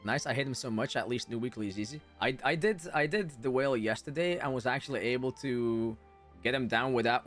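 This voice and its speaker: A man chatting casually and a little haltingly on a gaming livestream. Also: mid-range voice, fairly bright tone. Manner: informal, halting, chatting with viewers. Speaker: game streamer. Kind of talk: casual livestream chat over gameplay.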